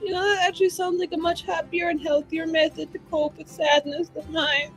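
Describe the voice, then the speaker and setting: A woman's voice: extremely high pitch, level tone, a little fast, low-quality recording. A woman playing a tabletop game, improvising casually with a flippant, informal way of talking. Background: soft background music.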